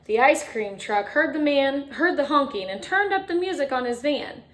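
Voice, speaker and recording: A woman reading a picture book aloud to children like a teacher, expressively, with dramatic emphasis and chatty asides. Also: high-pitched. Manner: expressive, dramatic emphasis, drawn-out refrains, chatty questions to young listeners. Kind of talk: animated children's storytime read-aloud with asides to listeners.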